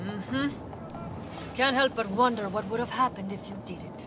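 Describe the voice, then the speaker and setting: A woman's voice: medium pitch, moderate pace, music in the background, natural voice. An actress playing a stern, no-nonsense female nomad in a video game, curt and scolding with folksy phrasing. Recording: noisy outdoor ambience behind.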